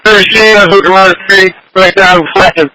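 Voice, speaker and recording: A man speaks as a fire officer over a two-way radio. His voice is high for a man. He gives short, practical orders and acknowledgements in terse radio phrasing. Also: fairly even intonation, normal speed, sharp tone, slight background noise, rough recording.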